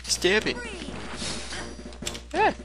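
A man's voice: very slow, music in the background. A man playing a video game with goofy, exclamatory commentary. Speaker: let's play gamer. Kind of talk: gameplay commentary with read-aloud dialogue.

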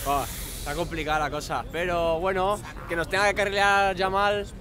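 A man's voice: high-pitched, little pitch movement, moderate pace, crowd noise. A man in his twenties answering casually in a street interview, outdoors with a handheld microphone. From United States. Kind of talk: casual chat. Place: outdoors on a city bridge.

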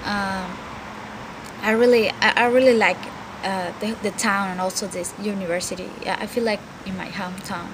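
Enthusiastic student from Venezuela, outdoors. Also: female voice, mid-range voice, fairly even intonation, moderate pace, background noise. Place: outdoors on campus.

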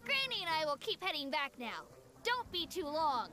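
A woman's voice: high voice, very animated, normal speed, sharp tone, full character voice. A woman voicing an eager, trusting little boy in a video game, childlike and pleading. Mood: puzzled. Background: game music.

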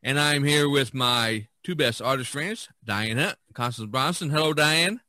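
A man from Indiana with an American accent, hosting a casual podcast chat in a halting way, often pausing mid-thought. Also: medium pitch, pushed and bright, dry recording, studio quality. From Indiana, USA. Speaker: podcast host.

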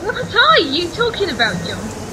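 Woman playing loving british wife in radio play, lively pitch, noisy recording. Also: moderate pace, full character voice. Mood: fond, excited. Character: affectionate, reassuring English wife.

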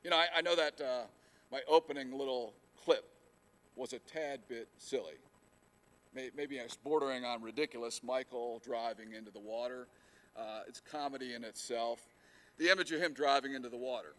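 A middle-aged male pastor preaching from the pulpit in an earnest, halting way, often restarting a phrase and leaning hard on key words. His voice sits at an ordinary pitch for a man. He speaks into a lapel mic in a church sanctuary. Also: fairly bright tone. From United States. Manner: earnest, with frequent fillers and restarts, rising emphasis on key words. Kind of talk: sermon.

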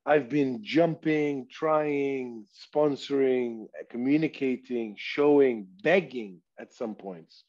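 Brief: man from Saudi Arabia, thirties, unhurried and mellow, lowish voice, dull headset call sound. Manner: slow, reflective, speaks of himself in third person. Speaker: artist guest. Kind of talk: video call interview. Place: small room indoors. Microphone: headset on video call.

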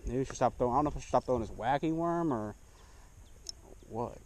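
A man's voice: medium pitch, expressive, fast, darkish tone, slight background noise. Laid-back guy fishing from a boat. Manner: laid-back, self-deprecating banter. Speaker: fishing vlogger. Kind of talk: casual outdoor fishing narration. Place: small boat on a lake, outdoors. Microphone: action camera mic.

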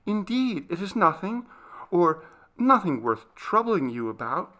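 Man voicing a timid, pleading young servant girl, pitched up. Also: sing-song, measured pace, a bit bright, full character voice. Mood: frightened, evasive. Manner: faltering, pleading, deferential. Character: frightened, earnest young servant girl, meek and tearful.

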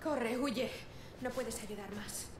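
A woman's voice: fairly high pitch, slow pace, crowd noise. A woman voicing a frightened citizen woman in a video game, calling out urgently for help. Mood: scared. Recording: echoey game audio with music.

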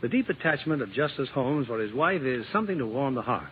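A man's voice: mid-range voice, lively intonation, fast. A man hosting a radio drama in a scripted, formal 1950s broadcast style. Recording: muffled, noisy old radio broadcast.

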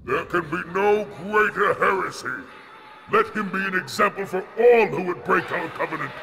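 Gravelly, imperious alien zealot. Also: male voice, high-pitched, moderate pace. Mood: condemning. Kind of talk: video game cutscene acting.

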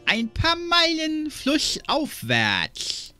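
A woman's voice: sits high, huge pitch swings, very slow, pushed and bright, faint music behind, full character voice. A woman playing a pondering river sailor in a fantasy audio drama, musing in a drawl.

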